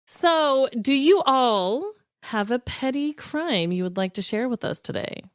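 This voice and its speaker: A woman's voice: sits high, expressive, a little slow, soft background music. A woman hosting a comedy podcast in a casual, chatty style. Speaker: podcast host.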